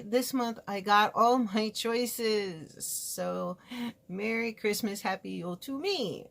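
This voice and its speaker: A woman's voice: mid-range voice, creaky, fairly bright tone. A woman from California talking frankly and rambling through a casual makeup review in her home studio. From California, USA. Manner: chatty, frank and rambling, with asides. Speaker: beauty vlogger reviewing makeup. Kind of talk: casual product review chat.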